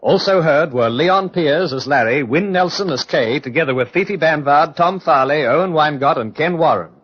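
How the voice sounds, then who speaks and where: A man's voice: a little high, animated, normal speed, slightly rough. A man announcing scripted closing credits for an old-time radio programme. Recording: muffled old radio recording.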